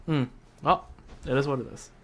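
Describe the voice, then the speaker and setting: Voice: mid-range voice, steady tone, moderate pace, fairly bright tone. A livestreamer giving casual, slangy gameplay commentary in a rambling, conversational way. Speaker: livestreamer playing a video game. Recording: game music behind the voice. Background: video game music.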